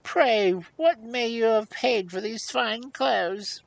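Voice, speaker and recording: A woman's voice: on the high side, slow pace, vocal fry, no room sound, pitched above the performer's own voice, exaggerated voice. A woman voicing a miserly old hag in a storybook, grumbling, sarcastic and mocking as she haggles. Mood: muttering, nosy. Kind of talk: storybook character voice.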